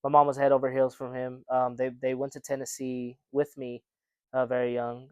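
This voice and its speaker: A man's voice: medium pitch, monotone, moderate pace, a little mellow, clear, resonant tone, no echo, studio quality. A young man co-hosting a casual podcast chat, rambling with lots of fillers and restarts. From United States. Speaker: podcast co-host.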